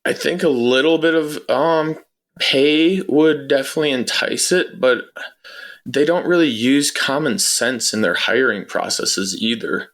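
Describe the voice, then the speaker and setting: A man's voice: medium pitch, a little slow, fairly bright tone. A man answering interview questions as a guest in a casual, matter-of-fact, conversational way. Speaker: interview guest, former air traffic controller.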